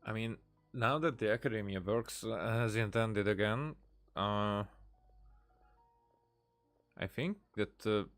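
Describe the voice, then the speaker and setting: A man giving casual live game commentary as a streamer, halting and searching for his words. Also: fairly low pitch, fairly bright tone, faint music behind.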